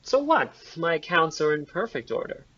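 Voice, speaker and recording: A man's voice: a little high, very animated, moderate pace, fairly bright tone, dull audio. A man playing a defensive, evasive trustee in an audio play, speaking in a clipped, indignant way. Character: defensive, evasive male estate trustee under suspicion. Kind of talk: amateur audio play acting.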